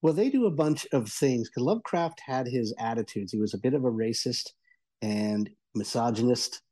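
A man speaking as a guest reviewer in a conversational discussion, haltingly, repeating words for emphasis. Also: mid-range voice, dark tone.

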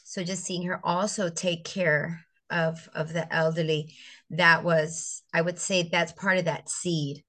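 Reflective lower-voiced woman from St. Croix. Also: level tone, unhurried, fairly bright tone. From US Virgin Islands (St. Croix). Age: middle-aged. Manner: reflective, storytelling, with frequent fillers and restarts. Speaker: guest, educator and business founder. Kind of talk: conversational interview.

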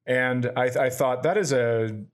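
A man playing a rambling male investor judge who tells a slow, deadpan story. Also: medium pitch, close to an everyday voice.

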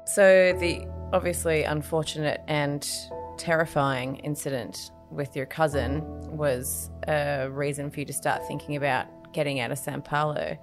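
A woman's voice: low voice, steady tone, normal speed, hardly any pauses, music in the background. A woman interviewing a guest, asking short, curious questions in a casual chat.

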